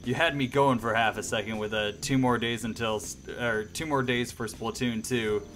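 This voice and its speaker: A man's voice: medium pitch, fairly even intonation, normal speed, a bit bright. A man hosting a radio-style livestream, chatting casually and enthusiastically to viewers in a rambling way.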